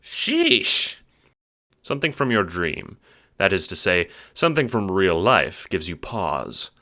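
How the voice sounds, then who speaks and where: Low-voiced man narrating, lively and bright. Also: moderate pace. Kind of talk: dramatic second-person scripted narration.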